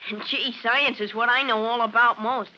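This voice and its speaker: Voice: medium pitch, steady tone, normal speed, sharp tone, muffled recording. A performer playing a bragging, argumentative know-it-all American schoolboy in a vintage radio drama. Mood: boastful, grudging. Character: boastful know-it-all schoolboy science whiz.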